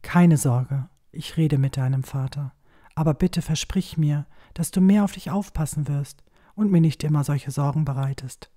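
A woman's voice: low-pitched, moderate pace, warm tone. A woman playing a caring, anxious, protective village mother in a fantasy audio drama. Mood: anxious care.

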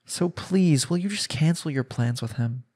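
A man's voice: on the high side, lively intonation, moderate pace, a little mellow, breathy edge. A young man playing a jealous, lovestruck husband in an intimate romantic audio roleplay, speaking in an earnest, pleading way. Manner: earnest, pleading, sighing delivery.

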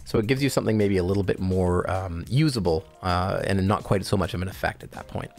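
A man narrating a software tutorial in a casual, informal, explanatory way. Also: sits low, fast, creaky, soft background music. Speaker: product tutorial presenter.

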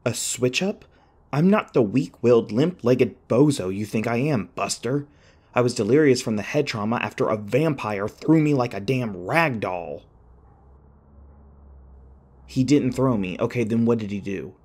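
A man playing an anxious, sarcastic young college student in an audio roleplay, rambling and halting in a wry, flustered way. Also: mid-range voice, animated, a little mellow. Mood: indignant. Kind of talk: audio roleplay acting, one-sided dialogue.

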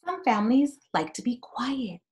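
A woman's voice: on the high side, sing-song, normal speed, a little mellow. A woman in her thirties speaking as a teacher to young children, reading a picture book aloud and talking about it in simple phrases. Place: small indoor room at home.

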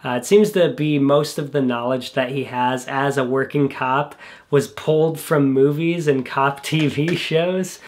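A young man reviewing films casually in a small bedroom studio, sounding a little congested from a cold, relaxed and enthusiastic with frequent fillers. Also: mid-range voice, unhurried. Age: young adult. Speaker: film reviewer.

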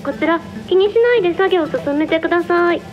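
Very high, sweet young girl over intercom woman acting. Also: brisk. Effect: intercom speaker. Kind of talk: radio drama acting. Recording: muffled intercom speaker sound.

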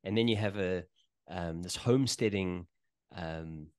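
A man's voice: deep voice, unhurried, no echo, studio quality. A man in his forties hosting a casual video interview from a small home room, hesitant and full of fillers and restarts. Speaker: podcast host. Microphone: studio mic on desk, headphones.

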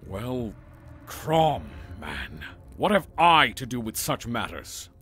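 An actor playing a gruff, scornful barbarian warrior in a fantasy audio drama, blunt and rough-voiced. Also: male voice, mid-range voice, big pitch swings, slow pace, sharp tone, soft background music, full character voice.

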